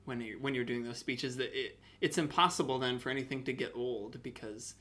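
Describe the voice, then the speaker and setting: Male podcast host and actor, mid-range voice, casual and thoughtful, halting delivery. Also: mid-range voice, fairly even intonation, sharp tone. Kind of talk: casual interview chat.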